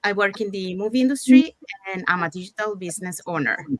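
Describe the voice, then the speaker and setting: A woman's voice: medium pitch, fast talker, no room sound. A woman from Panama hosting an online talk show, introducing guests in a loose, chatty way with fillers. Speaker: host.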